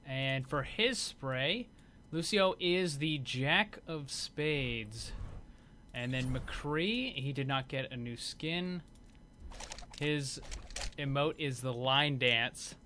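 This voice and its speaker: A man's voice: medium pitch, lively intonation, drawn-out words, pushed and bright. A man giving casual, unscripted commentary as host of a gaming video. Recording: clear background noise.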